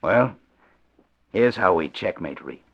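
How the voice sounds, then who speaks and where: A gravelly scheming doctor. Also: male voice, low-pitched, lively intonation, takes it slowly, a bit bright, full character voice. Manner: cold, conspiratorial. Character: scheming male doctor plotting with accomplice. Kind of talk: 1940s radio acting. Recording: dull lo-fi old radio recording.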